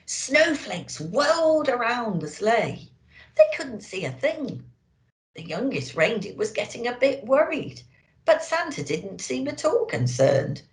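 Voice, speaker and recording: A woman speaking as a children's storyteller, expressive and deliberate, as if to young listeners. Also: a little high. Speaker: storyteller in character as a Christmas elf. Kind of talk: children's storybook reading and festive address. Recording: echoey room.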